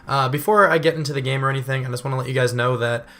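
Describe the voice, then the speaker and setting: A young man with a voice still hoarse from illness, giving casual, rambling video game commentary and often correcting himself. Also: mid-range voice, fairly even intonation, rapid-fire, pushed and bright. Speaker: gaming channel host.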